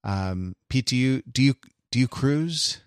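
A man's voice: medium pitch, expressive, measured pace, clean tone, no echo, clean studio sound. A middle-aged man hosting a casual podcast, bantering in a wry, wordy, mock-pedantic way. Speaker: podcast host.

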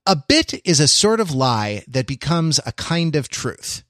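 A man co-hosting a podcast in casual discussion, with a halting, wry, self-interrupting way of talking. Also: on the high side, sing-song, a bit bright, no echo, studio quality. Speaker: podcast co-host. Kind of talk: casual discussion, summarizing and quoting an article.

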